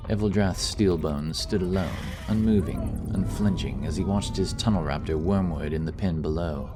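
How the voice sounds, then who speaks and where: Male narrator for epic fantasy audiobook, deep register, reading over prominent score.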